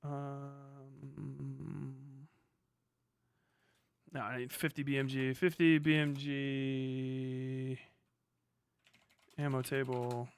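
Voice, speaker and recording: Clear-voiced man thinking aloud on stream. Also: mid-range voice, little pitch movement, no room sound. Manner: swears freely; halting, muttering while reading figures aloud. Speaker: live streamer. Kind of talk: casual livestream talk, thinking aloud.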